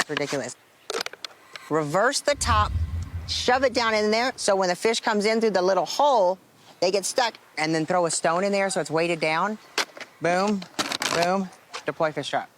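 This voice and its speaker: Female survival show contestant, informal, practical and instructive, light background noise. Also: deep voice. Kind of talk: casual on-location talk and explanation. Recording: faint noise with clicks.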